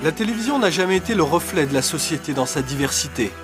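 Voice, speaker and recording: A man's voice: fairly high pitch, expressive. Earnest male documentary narrator. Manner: measured, earnest delivery. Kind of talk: scripted voice-over narration. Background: music.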